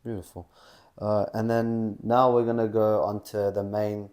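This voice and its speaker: A man's voice: fairly low pitch, level tone, a little slow, a little mellow. A man interviewing a guest, asking questions hesitantly and restarting them as he goes. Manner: hesitant, repeats his question openings.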